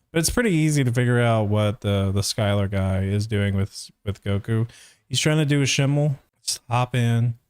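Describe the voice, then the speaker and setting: Clear-voiced man rambling through casual commentary. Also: mid-range voice, darkish tone, dry recording. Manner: hesitant, rambling, thinking aloud. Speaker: voice actor reviewing a fandub.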